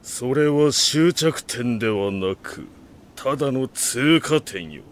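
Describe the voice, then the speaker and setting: An actor playing a proud, iron-willed warlord in an audio drama, speaking in solemn, imperious, archaic grand speech. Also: male voice, medium pitch, expressive, slow pace, slight background noise. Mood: resolute. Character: proud, iron-willed male warlord bent on remaking the nation. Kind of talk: Japanese audio drama acting.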